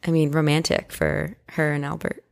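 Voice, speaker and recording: Female podcast co-host, slightly low register, conversational, reads listener stories in a casual way. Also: level tone, moderate pace, creaky. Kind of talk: casual chat, reading listener stories aloud.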